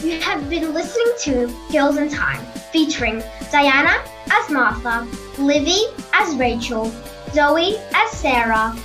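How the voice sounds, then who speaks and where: A woman's voice: sits high, big pitch swings, a little slow, music in the background. A girl of about ten or eleven reading out scripted credits as the announcer of a radio play. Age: child, 10 to 11. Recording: home video-call recording.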